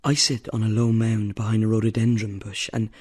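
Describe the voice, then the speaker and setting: A man's voice: on the low side, little pitch movement, fairly quick, a little mellow, slightly rough. An actor playing an eager Irish fan of the pipes in a radio drama, wheedling and dreamy as he talks about the music. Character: Irish pipe fan, eager and a bit boozy.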